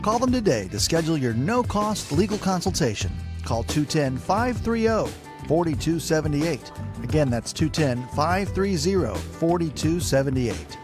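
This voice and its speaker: Animated male ad announcer over music. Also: a little high, normal speed. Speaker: commercial announcer. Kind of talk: scripted radio ad read. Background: music bed.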